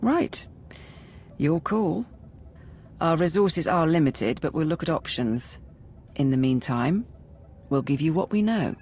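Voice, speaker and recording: Low-voiced brisk Imperial intelligence officer. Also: female voice, animated, a little mellow, slightly rough, soft background music, heavily acted. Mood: brisk, strategic. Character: brisk, pragmatic female Imperial intelligence officer briefing her commander. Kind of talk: video game voice acting.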